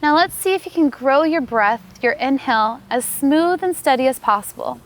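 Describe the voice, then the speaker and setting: A woman yoga teacher leading a guided meditation, giving calm, unhurried, soothing instructions. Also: sits high.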